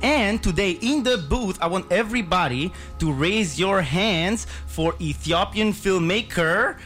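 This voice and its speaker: A man's voice: high voice, expressive, a little slow, a bit bright, soft background music. A man hosting a radio film show, chatting enthusiastically with many fillers and restarts. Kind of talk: casual radio interview. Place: radio booth.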